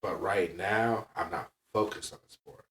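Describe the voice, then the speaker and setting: A man with a fairly low voice speaks off the mic in an echoey room. He talks like a podcast host and coach, candid and casual. His delivery is blunt and emphatic, with frequent little checks for agreement. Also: unhurried, a bit bright, far from the mic.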